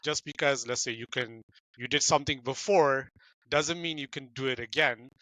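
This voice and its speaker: A man's voice: mid-range voice, normal speed, pushed and bright, no echo, poor audio. A man hosting an interview, chatty and playful, asking questions and repeating words. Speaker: interview host. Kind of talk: casual interview.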